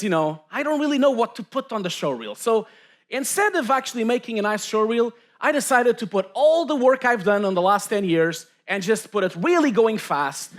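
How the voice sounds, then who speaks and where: Middle-aged man presenting, high-pitched, chatty and joking, from portugal. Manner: self-mocking, chatty, many fillers. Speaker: conference presenter.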